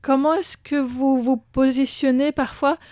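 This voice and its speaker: Higher-voiced radio interviewer, dry studio sound. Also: female voice, steady tone, darkish tone, no echo. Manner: clear, measured questions. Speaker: radio host.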